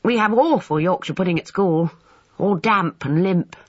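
A woman's voice: lowish voice, normal speed, slightly rough, voice pushed up. A woman voicing an eager, polite, chatty schoolboy full of enthusiastic slang in an audiobook. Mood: eager, hungry. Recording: dull, roomy, echoing sound.

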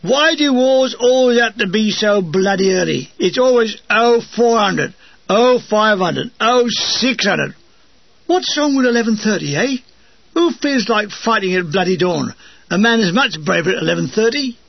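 A man's voice: high-pitched, lively intonation, measured pace, gravelly, dry recording, voice pushed up, heavily acted. A man voicing an exasperated, grumbling British soldier in a comic war memoir reading. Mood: indignant. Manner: exasperated, protesting. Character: Edgington, mournful, grumbling British soldier ranting in tent. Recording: dull, lo-fi recording.

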